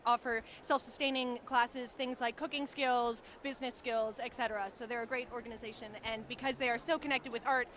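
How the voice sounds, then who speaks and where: A woman's voice: high-pitched, sharp tone, a little hiss or hum. A young woman who organizes a cosplay group, explaining their charity events fluently and eagerly in an interview at a convention. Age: young adult. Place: outdoors at a busy convention.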